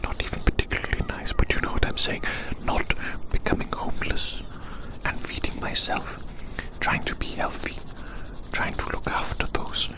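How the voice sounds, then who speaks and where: Whispered, unvoiced ASMR rambling. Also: low-quality recording. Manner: hushed, slow repetition of phrases, gently musing. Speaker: ASMR performer. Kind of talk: whispered ASMR roleplay, rambling softly to the listener. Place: outdoors.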